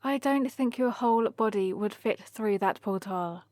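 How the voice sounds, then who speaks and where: A woman's voice: medium pitch, measured pace, dry recording, studio quality, lightly acted. A woman playing a playful, teasing young heroine adventurer who chats and rambles fondly in a one-sided ASMR roleplay. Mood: explaining, coaxing. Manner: chatty, rambling, gently teasing.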